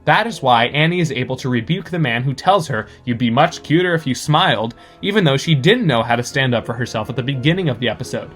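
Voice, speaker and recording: A man's voice: mid-range voice, animated, fast talker, few pauses, fairly bright tone, soft background music. A man giving articulate, scripted commentary as a listener sending in his thoughts.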